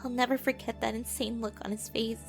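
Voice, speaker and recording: A woman's voice: on the high side, fairly even intonation, brisk, creaky. A woman playing an earnest, wounded and insecure young woman in a dramatic audio drama, with music behind her. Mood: sorrowful, reflective.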